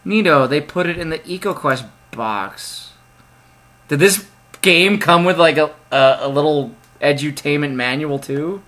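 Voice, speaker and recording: A young man playing a point-and-click game, reading its text aloud and joking about it. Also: mid-range voice. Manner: reads game text aloud, jokey and excitable asides. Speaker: let's play gamer. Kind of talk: casual let's play commentary.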